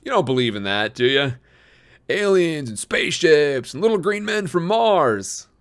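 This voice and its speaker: Guy doing a chummy teen big brother, higher voice, easy friendly talk, kinda sinister underneath. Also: big pitch swings, a little slow, clear, resonant tone. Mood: breezy. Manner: breezy, overly friendly. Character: teen boy, cocky older brother, secretly sinister.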